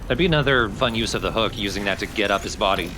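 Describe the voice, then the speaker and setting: A man's voice: fairly low pitch, fast, pushed and bright, a little hiss or hum, rough recording. A man co-commentating casually on a video game. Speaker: let's play co-commentator. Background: video game sound.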